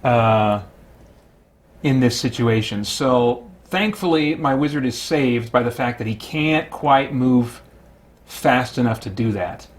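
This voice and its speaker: A man's voice: mid-range voice, takes it slowly. A man hosting a solo tabletop game, giving casual play-by-play and thinking aloud with mild exclamations and chatty asides to himself.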